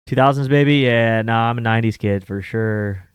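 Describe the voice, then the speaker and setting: Casual male betting host, about 30, loose rambling delivery, average pitch, dry close sound. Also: normal speed, clear, resonant tone, no room sound. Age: 30s. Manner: rambling, filler-heavy, with mild swearing. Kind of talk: casual chat.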